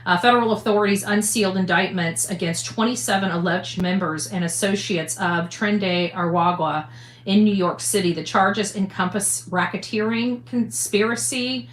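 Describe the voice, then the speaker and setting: A woman giving casual news commentary to an audience in a halting, conversational way. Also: mid-range voice, echoey room. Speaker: online commentator.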